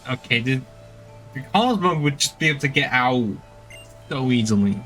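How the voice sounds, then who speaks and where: A man's voice: medium pitch, expressive, normal speed, pushed and bright, faint music behind. A man streaming a video game with casual commentary, sounding exasperated, sardonic and nitpicking. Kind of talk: casual game commentary with dialogue read aloud.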